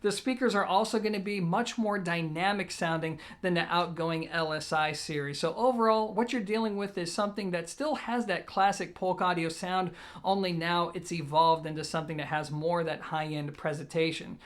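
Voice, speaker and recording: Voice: medium pitch, moderate pace. Informal gear reviewer speaking straight to viewers. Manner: informal, addresses viewers directly, emphatic openers to points. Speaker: host, product reviewer. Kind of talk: semi-scripted audio gear video review.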